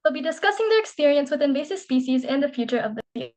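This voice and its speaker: A woman's voice: sits high, animated, quick pace, a little mellow. A young woman, a student research director, introducing panelists formally. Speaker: student moderator.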